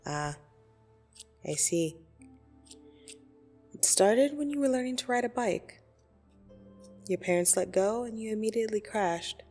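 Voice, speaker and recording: A woman playing a blunt, teasing yet soothing dream demon in an ASMR roleplay, speaking deliberately and intimately with gentle condescension. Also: mid-range voice. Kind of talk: ASMR roleplay audio drama. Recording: soft music behind the voice. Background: soft meditation music.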